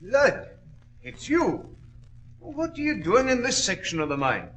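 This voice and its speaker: An earnest veteran miner in an old radio thriller. The voice is strikingly high and sharp. Words come slowly, with urgent melodrama. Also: male voice, huge pitch swings, lo-fi sound, heavily acted. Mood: concerned. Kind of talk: 1940s radio acting. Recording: old-time radio drama recording.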